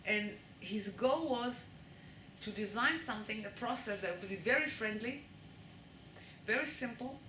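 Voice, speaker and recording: A woman's voice: fairly high pitch, lively intonation, measured pace, pushed and bright. Israeli woman giving a talk, keeps asking "okay?", roomy echo, noisy background. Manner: checks in with the audience often. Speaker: lecturer. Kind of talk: live lecture to an audience. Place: lecture hall with live audience. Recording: echoey room with noise.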